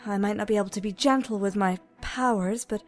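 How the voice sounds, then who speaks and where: A woman's voice: mid-range voice, brisk, faint music behind, lightly acted. An actress playing an earnest, headstrong teenage girl student in an audio drama. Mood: defiant.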